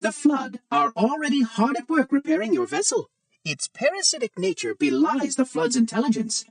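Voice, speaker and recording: A man's voice: very high voice, sing-song, normal speed, vocal fry, dry recording, far from the mic, exaggerated voice. A man playing a prim, fussy, ancient robot monitor in a video game, speaking in a formal, precise, oddly cheery way with light robotic processing. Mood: clinical, pleased. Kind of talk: video game character acting.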